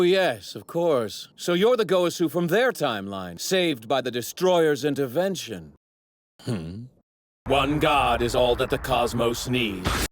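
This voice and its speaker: An actor playing an arrogant, self-righteous god who scorns mortals, with pompous, formal diction in a theatrical anime dub. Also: male voice, medium pitch. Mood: haughty. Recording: noisy clip with overlapping sound effects. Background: anime sound effects.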